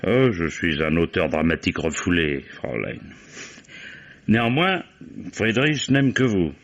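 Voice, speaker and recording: An actor playing a shrewd, unhurried police commissioner in a French radio mystery, courteous and probing. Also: male voice, deep voice, big pitch swings, exaggerated voice. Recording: dull old radio recording.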